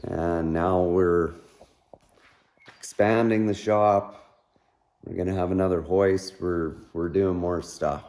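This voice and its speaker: A man with a hoarse, raspy voice chatting casually in a vlog about his shop, rambling and trailing off with fillers. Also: low-pitched, fairly even intonation, slow, long pauses, darkish tone. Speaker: vlogger. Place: garage shop, partly outdoors.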